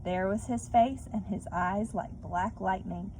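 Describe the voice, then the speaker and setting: A woman reading and discussing a children's story like a teacher, expressively and speaking directly to young listeners, with clear background noise. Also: medium pitch, a little slow, a little mellow, low-quality recording. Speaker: read-aloud teacher. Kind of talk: children's story read-aloud with questions to listeners.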